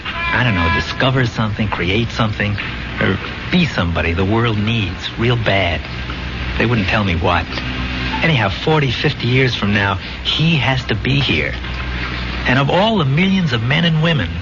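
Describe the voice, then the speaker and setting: Dull 1970s radio drama, music behind, man playing low-pitched brash soldier, glib, streetwise, persuasive. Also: expressive, normal speed, natural voice. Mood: earnest, insistent. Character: brash, hell-raising dead soldier pleading with his buddy.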